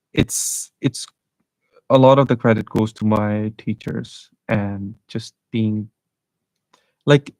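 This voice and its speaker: A man's voice: on the low side, a little mellow, no echo, low-quality recording. A young man from South Asia hosting a live stream, telling travel stories in a rambling, casual way with many restarts. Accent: neutral international English, worked on. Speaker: channel host.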